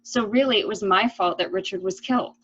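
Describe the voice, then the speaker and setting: A woman's voice: medium pitch, brisk, slightly rough, lo-fi sound, lightly acted. A woman playing a guilt-ridden yet decisive lady of the house in an amateur mystery play, speaking earnestly. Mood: regretful, troubled. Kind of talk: amateur murder mystery radio play acting.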